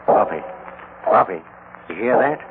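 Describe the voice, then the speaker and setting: Jittery folksy cowpoke sidekick, comic, old radio western, tinny hissy sound. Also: male voice, lowish voice, animated, measured pace. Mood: nervous. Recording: scratchy, tinny vintage radio broadcast.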